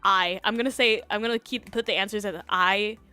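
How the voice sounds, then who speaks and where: A woman hosting a livestream, chatting casually and reacting to online stories in a giggly, animated way. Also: medium pitch, a little fast, smooth, faint music behind. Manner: giggly, animated, breaking into laughter. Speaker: livestream host.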